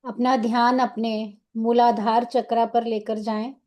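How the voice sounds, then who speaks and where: A woman with an Indian accent guides a meditation in a fairly high, mellow voice. She speaks very slowly and soothingly, giving short instructions and leaving pauses between phrases. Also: flat delivery.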